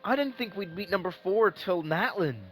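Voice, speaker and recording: A man giving casual live reaction commentary as a gaming streamer, excitable, repeating himself as he thinks aloud. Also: high-pitched, normal speed, rough recording.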